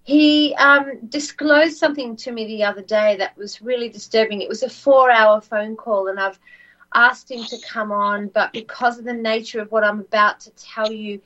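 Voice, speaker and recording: A woman co-hosting a podcast, English as her second language, telling a story in a soft voice with many ums and repeated words. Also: a little high, fairly even intonation, moderate pace. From not said; lives in Australia. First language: not English. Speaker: co-host. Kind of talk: casual podcast chat, storytelling. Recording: dull lo-fi audio.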